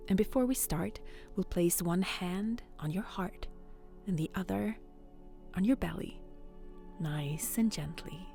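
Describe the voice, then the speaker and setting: A woman hosting a bedtime story, guiding relaxation in a soft, soothing, often whispered voice. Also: lowish voice, unhurried, creaky, long pauses, soft background music. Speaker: storyteller and host.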